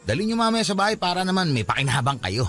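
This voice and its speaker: A man's voice: high-pitched, quick pace, soft background music. A man with a Filipino accent playing a good-natured mama's boy in his twenties, joking with coworkers in a radio drama. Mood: jovial. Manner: animated, joking. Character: Onad, good-natured 27-year-old male mama's boy.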